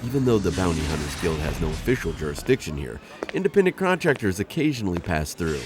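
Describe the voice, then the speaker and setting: A flustered insectoid bounty hunter. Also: male voice, sits low, very animated, quick pace, noisy background. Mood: earnest. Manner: formal, flustered, rambling and overexplaining. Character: awkward insectoid male alien bounty hunter. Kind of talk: ASMR roleplay audio drama.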